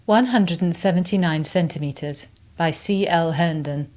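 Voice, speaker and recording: A woman reciting poetry in a deliberate, reflective way, pausing between phrases. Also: lowish voice, a little mellow. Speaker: reader of poems.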